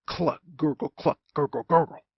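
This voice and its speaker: An audiobook narrator reading a humorous story in a theatrical, expressive way. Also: medium pitch, moderate pace, gravelly, husky, no room sound, dull audio.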